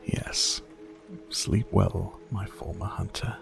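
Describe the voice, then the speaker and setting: Male actor as dominant, seductive vampire for ASMR roleplay: very slow, gravelly whispers, commanding with mock tenderness. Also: sits low, fairly even intonation, over music, exaggerated voice. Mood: possessive, soothing. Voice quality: breathy, often dropping to a whisper. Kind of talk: intimate ASMR roleplay acting.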